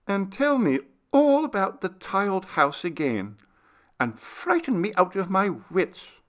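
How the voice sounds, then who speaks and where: A man voicing a genteel young mistress, cosy and playfully fearful, in an animated storybook reading. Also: sits high, unhurried, pitched above the performer's own voice, heavily acted. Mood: cosy, eager.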